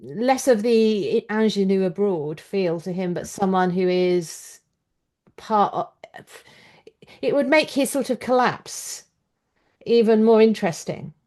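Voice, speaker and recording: A woman's voice: medium pitch, animated, slow, a little mellow. A woman hosting a podcast interview, offering her opinions in an articulate, reflective, conversational way. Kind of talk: conversational discussion, opinionated commentary.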